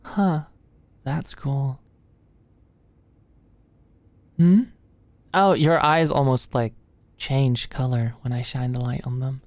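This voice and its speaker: A woman playing a sweet, anxious young medical student in an ASMR roleplay, speaking gently and a little awkwardly close to the mic. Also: very deep voice, steady tone, no room sound. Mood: shy, smitten. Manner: gentle, halting, clinical instructions slipping into shy fluster. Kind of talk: ASMR roleplay, soft close conversational delivery. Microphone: close microphone, dry sound.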